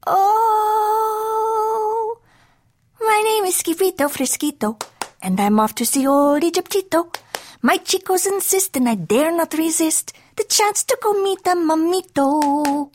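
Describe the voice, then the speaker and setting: Woman putting on an excitable high-pitched little kitten boy. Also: moderate pace. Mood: boastful, excited. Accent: put-on Spanish. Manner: excitable, theatrical, playful Spanish-flavored exclamations. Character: hyper, boastful little Siamese kitten boy playing Chihuahua.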